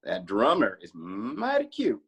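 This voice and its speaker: An actor playing a sassy, teasing honky-tonk cowboy with a husky voice and dry, playful sarcasm at a table read. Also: male voice, on the low side, huge pitch swings, measured pace, a bit bright, muffled recording, full character voice.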